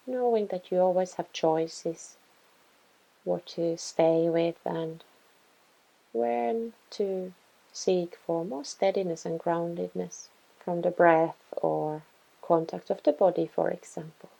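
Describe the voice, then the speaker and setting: Very slow, mellow woman guiding meditation. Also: on the low side, steady tone, gravelly, rough recording. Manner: gentle, soothing, unhurried instruction with pauses. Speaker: meditation guide. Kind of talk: guided body scan meditation.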